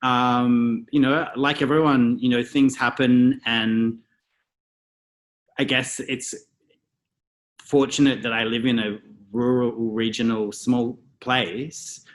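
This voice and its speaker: An Australian man in a casual interview, talking reflectively and thinking aloud with many pauses and fillers. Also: medium pitch, flat delivery. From Australia (Melbourne). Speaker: guest, stylist and photographer.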